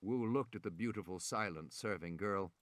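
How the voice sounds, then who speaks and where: A man narrating a dramatic novel as an audiobook in a measured, expressive storytelling manner. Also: lowish voice.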